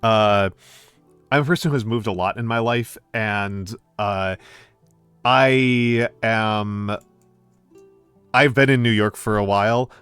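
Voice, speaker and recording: A middle-aged American man chatting casually with stream viewers, pausing often with fillers and restarts. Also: lowish voice, fairly bright tone, clear, resonant tone, no echo, faint music behind. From United States. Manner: hesitant, many fillers and restarts. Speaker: VTuber streamer.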